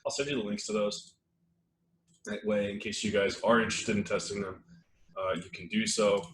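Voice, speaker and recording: A man with a low, slightly strained voice runs a live webinar in loose, rambling talk full of fillers. He sits at a desk mic in an echoey room with a faint hiss behind him. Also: normal speed. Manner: relaxed, rambling, many fillers and restarts. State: losing his voice, clearing throat. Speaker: webinar host.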